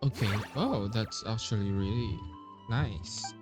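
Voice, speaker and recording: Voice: medium pitch, slow pace, loud music behind the voice. A game streamer giving casual reactions and asides during a playthrough, sometimes echoing the dialogue.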